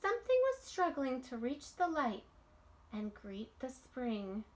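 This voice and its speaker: Slow, high-voiced woman reading a storybook. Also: very animated, a little hiss or hum. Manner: deliberate enunciation, dramatic emphasis for children. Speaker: storybook reader, teacher. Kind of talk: expressive children's read-aloud. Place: small room indoors at home.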